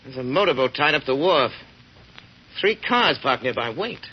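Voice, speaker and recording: Calm gentleman detective, muffled old radio. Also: medium pitch, moderate pace, slightly rough. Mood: watchful. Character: calm, confident gentleman detective giving orders. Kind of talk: 1940s radio drama acting.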